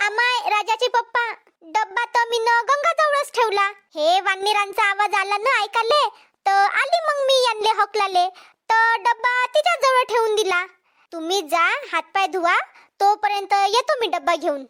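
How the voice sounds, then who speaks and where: A woman's voice: very high-pitched, moderate pace, fairly bright tone. A woman voicing a flustered, scolding village farm wife in a cartoon comedy, in Vidarbha Marathi dialect with a childlike voice. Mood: calmer. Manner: dramatic, complaining, repeats herself.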